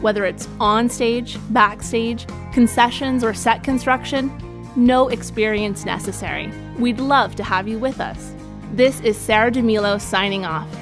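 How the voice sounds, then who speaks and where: A woman's voice: fairly high pitch, measured pace. Woman radio announcer over prominent music. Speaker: announcer for an amateur theatre group. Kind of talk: scripted narration, radio sign-off.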